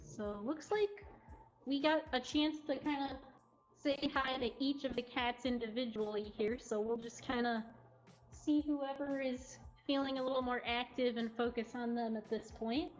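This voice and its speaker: A woman's voice: sits high, fairly even intonation, moderate pace, highs cut off. A woman hosting a live showcase, chatting casually to viewers. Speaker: cat cafe livestream host.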